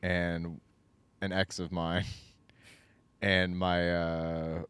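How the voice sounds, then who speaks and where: A man's voice: deep voice, little pitch movement, drawn-out words, fairly bright tone, smooth, no echo. A young man co-hosting a casual podcast, rambling and hesitant as he recalls a memory. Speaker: podcast co-host.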